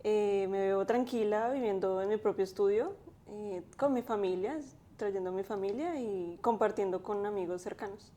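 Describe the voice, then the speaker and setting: Woman in her twenties, mellow tone, reflective interview guest. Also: medium pitch, normal speed. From Colombia. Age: 20s. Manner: thoughtful, with many fillers. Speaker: guest, graphic designer.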